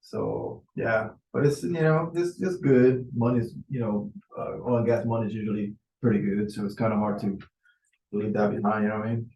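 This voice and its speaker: A man's voice: fairly low pitch, big pitch swings, normal speed, darkish tone, echoey room, a little hiss or hum, poor audio. A man in his forties, from Venezuela, chatting casually as a client on a sales video call, hesitant and full of fillers. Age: 40s. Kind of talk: casual conversation.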